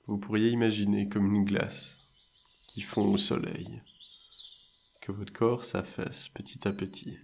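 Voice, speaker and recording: Slow, low-pitched man guiding meditation. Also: little pitch movement, creaky, slight background noise. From French-speaking Switzerland. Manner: soothing, measured, with long pauses between short phrases. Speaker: meditation and hypnosis guide. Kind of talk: guided meditation narration.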